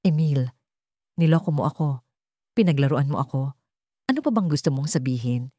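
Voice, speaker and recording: A woman's voice: deep voice, expressive, moderate pace, dark tone, dry recording, clean studio sound. A woman voicing a hurt, betrayed young woman who confronts her crush in an accusing, wounded way, in a story. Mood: bitter.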